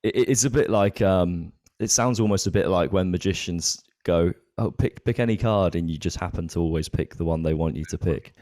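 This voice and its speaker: Male host, deep mellow voice, hesitant, many fillers, dry sound. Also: fairly quick, hardly any pauses, no room sound. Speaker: podcast host. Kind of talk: casual podcast conversation.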